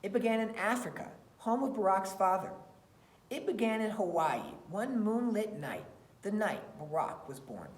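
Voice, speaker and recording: A woman reading a children's picture book aloud in an expressive storytelling style. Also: medium pitch, normal speed, a little hiss or hum. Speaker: read-aloud reader. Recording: roomy, echoey sound.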